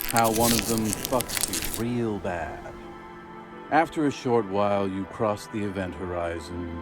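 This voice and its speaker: A man playing a wry, philosophical drunkard in a video game, telling tales in a laid-back, mock-eloquent way. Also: fairly low pitch. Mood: reminiscing. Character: wry, boozy male alcoholic storyteller, grandiose and philosophical. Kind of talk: video game character monologue.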